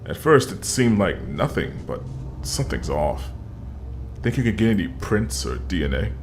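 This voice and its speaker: A man playing a world-weary police detective in an audio drama, gruff, terse and dry. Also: low voice, big pitch swings, normal speed, background noise. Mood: dry, familiar.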